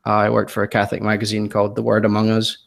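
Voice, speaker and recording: This is a Scottish-born man in his thirties with a softened accent and a lowish, gravelly voice. He speaks hesitantly in a relaxed interview, often restarting his sentences and making fun of himself. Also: rapid-fire. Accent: Scottish, softened by years in the US. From Scotland. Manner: self-deprecating, hesitant, many ums and restarts, laughs often. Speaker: podcast guest, designer. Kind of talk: casual interview chat.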